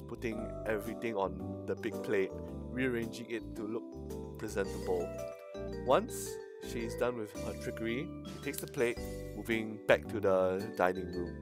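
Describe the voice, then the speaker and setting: A man streaming, reading a visual novel aloud with dramatic narration and his own commentary. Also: on the low side.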